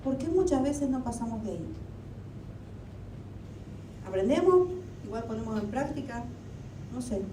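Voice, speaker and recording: A woman's voice: animated, moderate pace, pauses often. A woman from Argentina preaching a sermon to a church, chatty, with many rhetorical questions. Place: church hall. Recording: echoey hall, mic at a distance, faint rumble.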